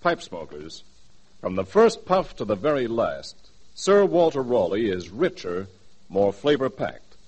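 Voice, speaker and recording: A man reading a scripted 1940s radio commercial as announcer, with emphatic, measured salesman phrasing. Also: on the low side, very animated, darkish tone, husky, rough recording.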